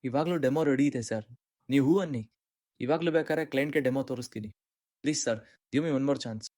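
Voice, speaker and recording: A man's voice: mid-range voice, a little fast, a little mellow, dry recording, clean studio sound, lightly acted. A man with an Indian accent playing an earnest, dejected young employee, apologetic and hesitant, in short-film drama dialogue.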